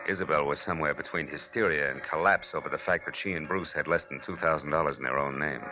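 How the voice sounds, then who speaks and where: An actor playing a hard-boiled private detective, narrating a 1940s radio noir in a terse, wry, tough manner. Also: male voice, extremely low pitch, fast talker, background noise. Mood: dry, watchful. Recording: dull old radio recording, music underneath.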